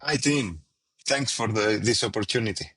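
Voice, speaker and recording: A man with a Greek accent answers interview questions in careful, non-native English. He pauses often, fills gaps with "uh" and "um", and restarts his sentences as he gathers his thoughts. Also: lowish voice, fairly bright tone, breathy edge. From Greece. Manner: hesitant, many fillers and restarts, non-native phrasing. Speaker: winemaker guest. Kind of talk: casual interview.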